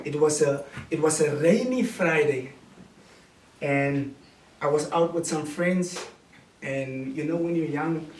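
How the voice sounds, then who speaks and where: A man in his late twenties with a Cape Town South African accent, giving a personal testimony in a preaching, storytelling way, in deliberate phrases with repetition. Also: fairly high pitch, darkish tone. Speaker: guest speaker giving testimony. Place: indoor room with small seated audience. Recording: roomy, distant camera mic with noise.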